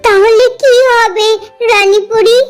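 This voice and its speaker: A woman's voice: extremely high pitch, steady tone, takes it slowly, over music. A woman voicing a small, earnest mother bird with a pleading manner in a children's cartoon. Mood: desperate, pleading.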